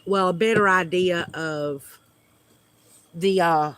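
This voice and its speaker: Southern man, homestead livestream host, high bright voice, unhurried, friendly filler-heavy small talk outdoors. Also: smooth. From United States. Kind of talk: casual livestream chat with viewers. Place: outdoors at home.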